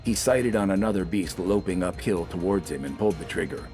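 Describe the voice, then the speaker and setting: A man's voice: low voice, a little fast, darkish tone, soft background music. A man reading scripted, dramatic fiction as an audiobook narrator.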